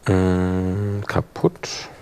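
A man presenting a live workshop, explaining software in a colloquial, hands-on way. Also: low-pitched, monotone, drawn-out words, creaky, clear, resonant tone. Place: live workshop in front of attendees.

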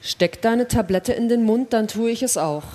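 A woman's voice: fairly high pitch, moderate pace, mellow, natural voice. An actress playing a distrustful, rule-abiding female astronaut who speaks curtly and reproachfully in a sci-fi radio play. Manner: curt, reproachful. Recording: long hall reverb.

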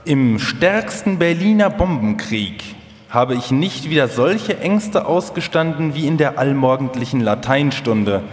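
A man's voice: mid-range voice, lively intonation, unhurried, a little hiss or hum, close to an everyday voice. Actor as a reminiscing older man, echoey hall. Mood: reflective. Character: older inventor recalling his school and student years. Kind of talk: quoted reminiscence in a live radio documentary. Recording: echoey hall with long reverb.

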